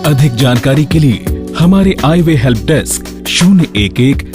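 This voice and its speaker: A man's voice: medium pitch, expressive, unhurried. A man presenting a radio show in a scripted narration style. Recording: music bed behind the voice. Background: background music with guitar.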